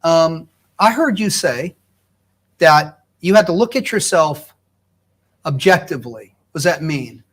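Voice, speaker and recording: A man's voice: a little high, lively intonation, measured pace, pauses often, breathy edge. A middle-aged man hosting a talk show, encouraging his guest and restating the guest's points in his own words. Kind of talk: faith-based talk show conversation.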